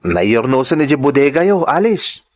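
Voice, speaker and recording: A man's voice: mid-range voice, very animated, a little slow. A man playing an eager, bashful young farm lad in a radio-style drama, hesitant and protesting, with an Ilocano accent.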